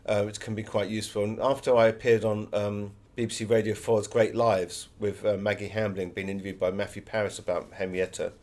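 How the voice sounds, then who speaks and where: A man's voice: on the low side, little pitch movement, a little fast, few pauses, a little mellow. A man. He speaks as an author being interviewed, answering conversationally and telling anecdotes as he goes.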